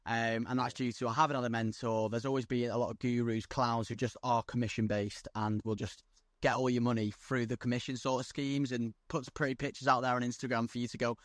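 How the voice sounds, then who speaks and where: A man's voice: medium pitch, flat delivery, brisk, no echo. Young man from North Wales on a call. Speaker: guest, day trader. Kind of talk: casual video-call interview. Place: outdoors on a lawn by the coast. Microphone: video call.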